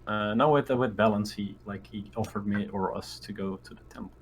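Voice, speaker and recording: Deep-voiced man chatting as a gamer. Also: steady tone, brisk, a crowd in the background. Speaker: tabletop game player. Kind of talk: casual in-game chat.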